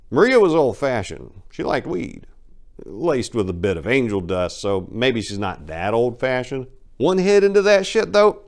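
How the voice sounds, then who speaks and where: A man with a low voice reads crime fiction as a storyteller. His delivery is theatrical, with a sardonic edge, as if confiding in the listener. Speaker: narrator. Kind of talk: dramatic reading of a crime story.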